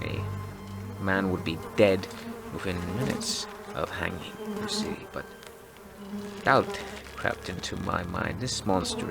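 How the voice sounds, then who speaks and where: An actor playing a haunted, obsessive doctor in an audio drama, telling his diary in a brooding, halting, gravelly voice that turns breathy at times. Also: sits low, exaggerated voice. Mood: brooding, morbid. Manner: brooding, deliberate, broken into halting phrases. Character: haunted, obsessive male doctor turned zealous ruler recounting childhood nightmare. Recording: loud music bed under the voice. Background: prominent music.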